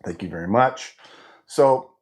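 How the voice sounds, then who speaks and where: A man's voice: medium pitch, animated, measured pace, darkish tone. A man in his fifties with a head cold, his voice stuffed up and nasal, chatting casually in a fitness update vlog with lots of restarts. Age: 50s. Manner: chatty and rambling, with frequent restarts and "you know". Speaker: fitness vlogger.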